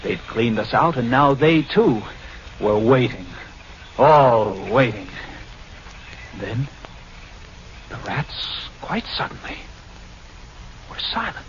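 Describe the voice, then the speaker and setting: An actor playing a haunted lighthouse keeper in an old-time radio horror monologue, brooding and suspenseful, with dramatic pauses. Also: male voice, mid-range voice, animated, a little hiss or hum. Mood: dread, despairing. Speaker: actor narrating in character. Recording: dull vintage radio recording, music underneath. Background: prominent ambient music.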